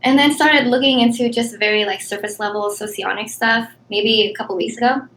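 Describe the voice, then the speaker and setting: A woman in her twenties, from the Philippines, answering interview questions softly and hesitantly, with many pauses and ums. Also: a little high, fairly even intonation. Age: 20s. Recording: echoey room, faint background noise.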